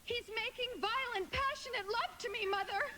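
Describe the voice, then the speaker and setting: Coy, very high-voiced young woman. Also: normal speed. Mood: exasperated. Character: young single woman, coy, hopeful, easily exasperated. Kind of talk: live radio play acting. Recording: very noisy live stage radio recording.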